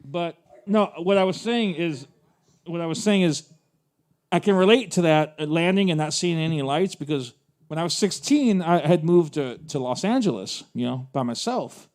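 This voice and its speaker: A man with an American accent and a fairly high voice hosts an open mic. He chats casually, circling back over phrases as he tells an animated anecdote. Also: normal speed. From United States (Texas). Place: live open mic event at a coffee venue.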